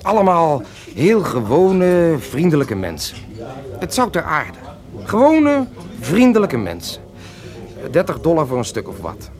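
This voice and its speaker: An actor in a radio play playing a cocky, lovesick young beatnik poet who smokes marijuana, with a theatrical, mocking drawl. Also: male voice, fairly high pitch, wildly swooping pitch, a little slow, a little mellow, soft background music. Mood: evasive, sing-song. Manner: theatrical, mocking, languid drawl with sudden outbursts.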